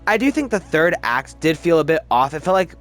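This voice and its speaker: A man giving casual, opinionated commentary as a video host, emphatic and halting, often restarting his sentences. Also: on the high side, very animated, soft background music. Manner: chatty, halting, restarts sentences mid-word. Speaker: movie review video host. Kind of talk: casual ranked-list movie commentary.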